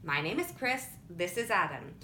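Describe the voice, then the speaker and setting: A woman's voice: very animated, moderate pace, sharp tone. A woman voicing a businesslike, faintly amused young man in an audiobook story. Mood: insistent, businesslike. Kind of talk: audiobook character dialogue. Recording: roomy echo with rumbling background noise.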